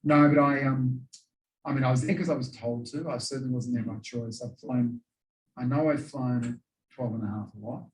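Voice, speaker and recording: A man with an Australian accent, a pilot answering audience questions in a relaxed, chatty way full of "you know". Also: mid-range voice, normal speed, distant mic. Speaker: pilot speaking to a historical society. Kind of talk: casual question-and-answer talk. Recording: roomy echo, voice away from the mic.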